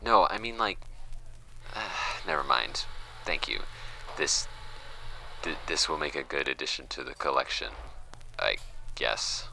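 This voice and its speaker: An actor playing a hesitant, discouraged novice investigator in an audio drama, speaking in a halting, noncommittal way. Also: male voice, very deep voice, animated, gravelly, sharp tone, a little hiss or hum, exaggerated voice, low-quality recording. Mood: disappointed, awkward. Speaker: interviewer. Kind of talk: audio drama dialogue and recorded log.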